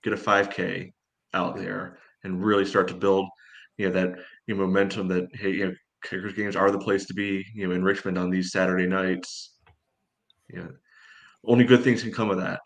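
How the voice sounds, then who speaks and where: A man's voice: deep voice, little pitch movement, moderate pace, gravelly. A man co-hosting a soccer podcast in casual discussion, rambling and often dropping "you know" into his sentences. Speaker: soccer fan podcast co-host.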